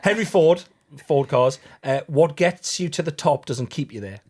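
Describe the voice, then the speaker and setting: A man's voice: on the high side, animated, normal speed, darkish tone, slightly rough. A man with a North East English accent co-hosting a podcast in casual banter, cheeky and sweary, repeating himself for emphasis. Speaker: podcast co-host.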